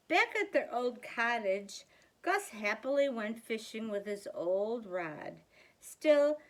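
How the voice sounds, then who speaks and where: A woman reading a children's picture book aloud as a storyteller, deliberately and with theatrical emphasis. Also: a little high.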